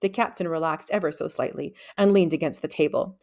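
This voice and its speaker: A woman reading a storybook aloud in expressive narration. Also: deep voice, brisk. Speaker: audiobook reader.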